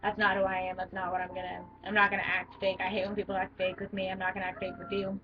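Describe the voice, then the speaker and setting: Teen girl vlogger, deep-voiced for her age, blunt and rambling, dull lo-fi home-video sound. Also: completely flat delivery, fast talker, distant mic, noisy background. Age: teens. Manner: blunt, rambling, lots of fillers. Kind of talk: casual talking-to-camera vlog.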